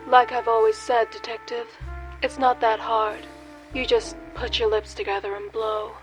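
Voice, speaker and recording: Woman playing a high-voiced noir femme fatale over music. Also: little pitch movement, moderate pace, close to an everyday voice. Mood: teasing. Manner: teasing, sardonic, theatrical. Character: sultry, mocking, vengeful female noir femme fatale. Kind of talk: comic film noir radio play.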